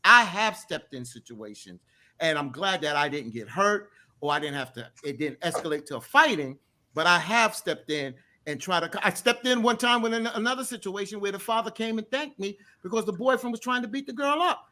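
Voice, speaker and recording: Emphatic man with a deep voice. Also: lively intonation, normal speed, bright, forceful tone. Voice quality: deep bass voice. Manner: emphatic, storytelling, repeats himself for emphasis. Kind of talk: casual group discussion.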